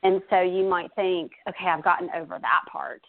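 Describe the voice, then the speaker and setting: A woman's voice: on the low side, moderate pace, creaky. A woman in her thirties talking conversationally as a guest giving self-care advice, explaining chattily with examples. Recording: dull phone-like audio.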